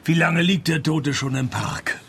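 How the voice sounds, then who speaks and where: An actor playing a dry, sardonic private detective who narrates and talks in a radio comedy, with a gravelly, deadpan voice. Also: male voice, fairly high pitch, a little slow, a little hiss or hum. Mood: matter-of-fact. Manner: deadpan, laconic.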